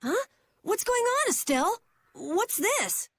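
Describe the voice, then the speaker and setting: A woman voicing an excitable, earnest young boy adventurer in video game dialogue, easily startled and anxious. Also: very high-pitched, slow, no echo, heavily acted. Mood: startled, worried.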